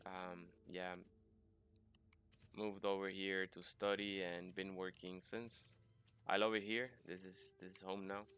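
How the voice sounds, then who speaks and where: Low-voiced young man streaming. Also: little pitch movement, a little slow, fairly bright tone. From Puerto Rico. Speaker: game streamer. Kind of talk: casual chat while gaming.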